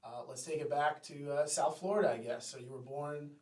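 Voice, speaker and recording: A man co-hosting a casual sports talk show, chatty and conversational, with quick asides and lots of "like" and "you know". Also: on the high side. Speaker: podcast co-host.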